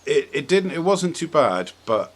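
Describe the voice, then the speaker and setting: A man's voice: on the high side, big pitch swings, measured pace. A man hosting a podcast, chatting casually about films and games in a tentative, rambling way, thinking aloud as he goes. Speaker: podcast host. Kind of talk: casual film and game chat. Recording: clearly audible background noise.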